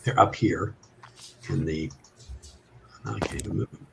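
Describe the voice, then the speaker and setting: A man with a slightly low voice narrates a tabletop game as game master. He speaks haltingly and thinks aloud as he goes. There is faint noise on his online call. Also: gravelly, fairly bright tone, poor audio.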